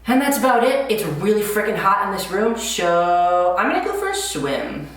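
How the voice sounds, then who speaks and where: A man's voice: high-pitched, big pitch swings, moderate pace, fairly bright tone, soft background music. A man of twenty-five, hosting a podcast in an upbeat, chatty way with many "um" fillers. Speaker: podcast host. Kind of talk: casual chat. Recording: very echoey room, far from the mic.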